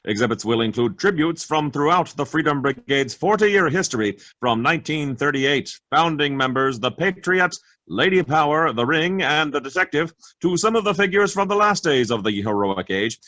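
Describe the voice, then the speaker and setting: An actor in a staged reading playing a TV news anchor with a newscaster cadence who stammers when flustered. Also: male voice, fairly high pitch, expressive, moderate pace, pushed and bright, no echo. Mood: newsreading. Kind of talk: virtual staged play reading.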